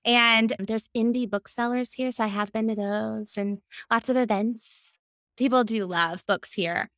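A woman with an American accent speaking as a podcast guest in an animated, conversational interview style. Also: on the high side, measured pace, no room sound, studio quality. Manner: animated, quotes self and others in anecdotes. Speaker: podcast guest, children's book editor.

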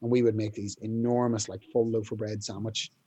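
Young male rock singer, mellow husky speaking voice, casual, rambling. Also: fairly low pitch, level tone, a little fast. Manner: rambling, many fillers and restarts, clears throat often. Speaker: band singer, interview guest. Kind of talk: casual storytelling interview.

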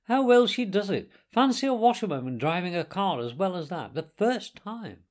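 A man voicing an indulgent, genteel gentleman motorist in a storybook, hearty and approving. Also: sits high, very animated, normal speed, pitched above the performer's own voice. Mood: admiring. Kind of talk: storybook character voice in audiobook reading.

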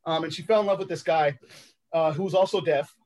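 A man from Los Angeles whose first language is sign language, telling his life story as a podcast guest in a reflective, halting way with many ums and uhs. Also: fairly high pitch, steady tone, a little mellow. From Los Angeles, United States.

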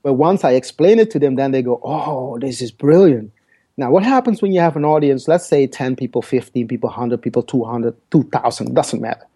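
Dutch-native man with a mellow voice. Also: medium pitch, fairly quick, husky. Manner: hesitant, repeats words while searching for phrasing. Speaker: guest speaker. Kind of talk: podcast interview.

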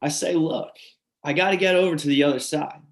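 A man's voice: on the high side, steady tone, a little fast. A man reading a dramatic monologue, telling in an urgent, repetitive way how floodwater trapped his family. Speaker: reader performing a monologue. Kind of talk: readers theatre monologue.

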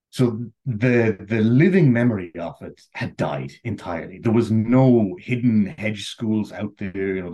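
Irish male guest, deep voice, slightly husky, loose chatty storyteller. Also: mellow. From Ireland. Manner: rambling, full of "you know" and "like". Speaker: interview guest. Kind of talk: casual conversational storytelling.

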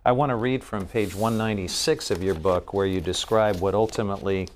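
A man hosting an interview as a congressman, asking measured, leading questions. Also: lowish voice, slight background noise. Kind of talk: interview host, reading aloud from a book.

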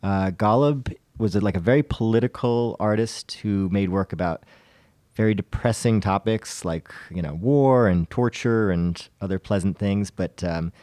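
Deep-voiced man, halting and dryly humorous. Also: dark tone, dry recording. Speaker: podcast co-host. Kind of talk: casual art chat.

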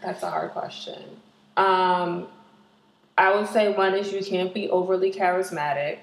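A woman with a slightly low voice trades teasing, wry jokes in relaxed banter. The room around her rings with a noticeable echo. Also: measured pace. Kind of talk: casual banter.

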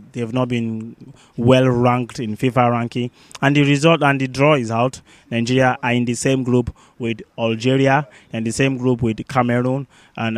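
A man co-hosting a sports podcast with casual news commentary, halting and often restating his phrases. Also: medium pitch, a little mellow, dry recording.

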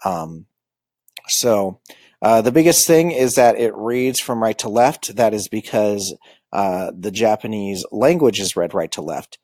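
A man giving an informal lecture on manga, halting often with ums and uhs. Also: on the low side, expressive, darkish tone, dry recording, studio quality. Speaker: school librarian teaching manga basics.

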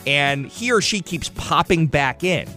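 A man's voice: fairly high pitch, expressive, measured pace, bright, forceful tone, faint music behind. A man speaking as a radio host, trading jokes and exclamations in a wisecracking, bantering manner.

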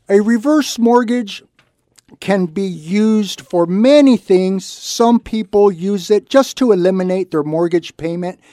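A man presenting a conversational advice segment on the radio. His voice sits a little high but is soft-edged and mellow, recorded very dry. He makes his points in short, repeated clauses with clear emphasis. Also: no room sound. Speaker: radio show host and reverse mortgage loan officer. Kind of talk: conversational explanatory radio talk.

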